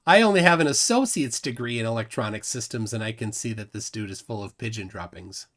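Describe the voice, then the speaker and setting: A man's voice: on the low side, expressive, brisk, fairly bright tone. A man hosting a casual livestream, reading out viewer comments and laughing often. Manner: chatty, reads chat comments aloud, laughs often. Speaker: livestream host.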